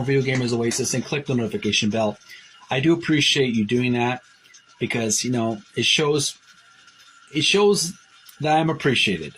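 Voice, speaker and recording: A man's voice: medium pitch, a bit bright, slight background noise. A middle-aged man hosting a casual video, describing box art in a rambling, hesitant way with many fillers and restarts, and calling his viewers folks. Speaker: host.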